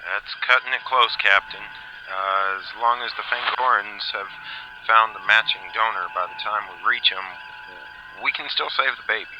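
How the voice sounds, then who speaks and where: Man playing starship doctor, low voice, worried, businesslike, dull lo-fi audio, sci-fi drama. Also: moderate pace, close to an everyday voice. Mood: cautiously hopeful. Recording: dull lo-fi sound, noisy ship ambience.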